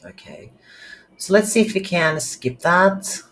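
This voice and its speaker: A tutorial instructor thinking aloud in a halting, unscripted way while walking through a screen-recorded coding lesson. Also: medium pitch, very animated, fairly bright tone. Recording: light background noise.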